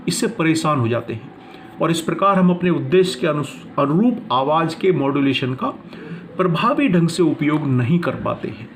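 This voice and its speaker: A man teaching in a deliberate, didactic way, narrating a prepared lesson and explaining it with emphasis. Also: on the high side, slight background noise.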